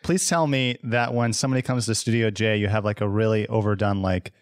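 A man's voice: on the low side, fairly even intonation, fairly quick, no room sound, studio quality. A man co-hosting a podcast, asking a guest questions in a friendly, joking way. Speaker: podcast co-host. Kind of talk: interview questions.

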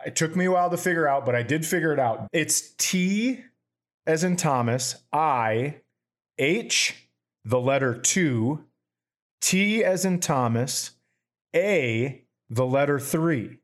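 A man hosting a podcast, telling stories in a casual, profane, animated way. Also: on the high side, slow. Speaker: podcast host.

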